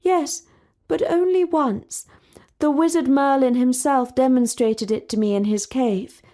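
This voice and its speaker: A woman's voice: high-pitched, a little slow, mellow, voice pushed up. Woman doing a prim, old-fashioned young princess. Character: young princess from another age, formal and polite.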